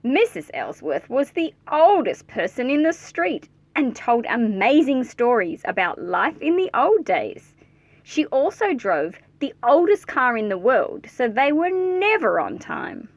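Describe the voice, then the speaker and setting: Female children's read-aloud host: highish pitch, big melodic swings, playful and chatty with young listeners, dry recording. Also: a little slow, vocal fry, no room sound. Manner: animated, playful, addressing young listeners directly.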